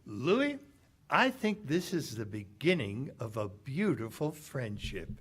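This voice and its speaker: A man's voice: medium pitch, big pitch swings, slow, slightly rough, heavily acted. A man playing a tough, world-weary cynic with a terse manner in 1940s radio drama. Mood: wry, friendly. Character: tough, world-weary, self-sacrificing American male cynic.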